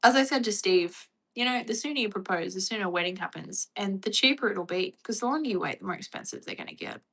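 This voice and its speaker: A woman's voice: medium pitch, expressive, fast, fairly bright tone, poor audio. An Australian woman chatting casually on a livestream, reading and answering viewer comments. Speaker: livestream host.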